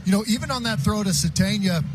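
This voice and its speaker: High-pitched American football color commentator. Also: male voice, quick pace, over loud music.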